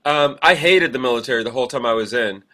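A man's voice: mid-range voice, quick pace, pushed and bright. A man co-hosting a casual conversation, reflective and emphatic, building his point in repeated phrases. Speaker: podcast co-host, military veteran.

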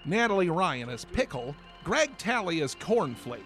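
A man's voice: high voice, very animated, measured pace, a bit bright, faint music behind, full character voice. A man playing an indignant, huffy friend and announcer in a comic radio play, theatrical and exasperated. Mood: grudging announcing. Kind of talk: comic radio play acting, credits reading.

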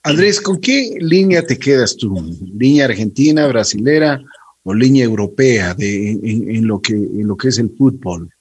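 A man's voice: mid-range voice, animated, normal speed, slightly rough. A man acting as interviewer, putting questions in a casual, conversational way.

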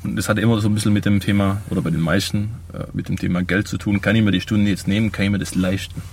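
Husky-voiced male podcast host. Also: lowish voice, fairly even intonation, fast talker, darkish tone. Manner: attentive, frequent agreeing backchannels. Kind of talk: podcast interview.

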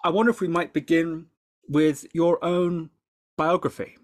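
A man hosting an interview podcast, speaking in measured, courteous and formally worded sentences. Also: fairly high pitch, fairly even intonation, no room sound, clean studio sound. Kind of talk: scripted introduction, then interview questions.

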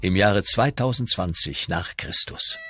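A man's voice: fairly low pitch, animated, measured pace, over loud music. A man narrating a comedic radio play from a script.

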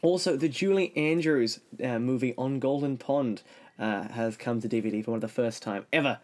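A man's voice: mid-range voice, normal speed, darkish tone. A man, a podcast host reading out lightly scripted announcements.

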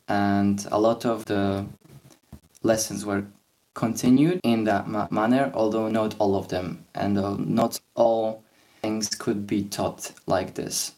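Young man, very deep and unhurried, halting podcast talk. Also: darkish tone. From Poland. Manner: hesitant, many fillers and restarts. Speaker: podcast host. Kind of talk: casual podcast discussion.

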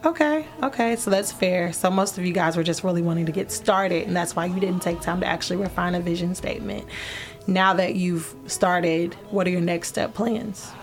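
Brief: female radio host, slightly low voice, upbeat and encouraging, faint music bed. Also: moderate pace. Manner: upbeat, affirming, laughs often.

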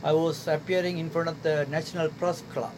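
A man in his sixties from Sri Lanka talks in earnest, halting English, his voice sitting a little high. He speaks as a guest on a radio show. Air conditioning hums clearly in the background of the studio recording. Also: little pitch movement, noisy background. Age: 60s. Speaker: guest artist. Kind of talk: casual radio interview.

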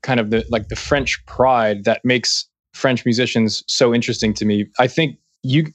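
A man's voice: medium pitch, normal speed, no room sound. A man hosting a music interview podcast, chatty and joking, often restarting his sentences. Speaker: podcast host. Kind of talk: casual interview chat.

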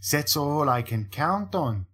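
Guy doing a malicious brooding painter, real slow, storybook voice. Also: on the high side, very animated, a bit bright, breathy edge, exaggerated voice. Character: paranoid, malicious older male painter. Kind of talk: audiobook character reading.

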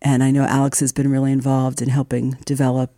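Woman chatting as a radio host, soft mellow tone, dry close sound, upbeat. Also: extremely low pitch, completely flat delivery, fast talker, no room sound. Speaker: radio host, library community partnership director. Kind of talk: conversational radio program hosting.

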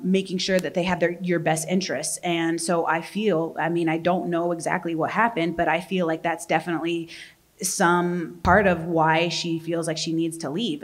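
A young adult woman, a professional fighter, answering interview questions in a candid, talkative way. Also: on the low side. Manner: candid and talkative, laughs easily.

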